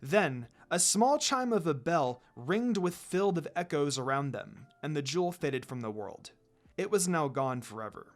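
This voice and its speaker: A man reading a fan fiction story aloud, dropping into soft, quiet tones for the characters' lines. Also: on the high side, sing-song, moderate pace. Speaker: fanfiction reader.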